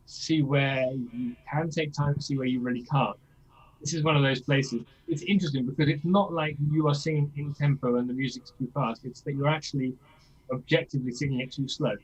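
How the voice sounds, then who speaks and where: A man's voice: a little high, a bit bright, breathy edge, lo-fi sound. A man coaching a singer in a masterclass, explaining things and checking in with "yeah?" as he demonstrates lines himself. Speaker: vocal coach.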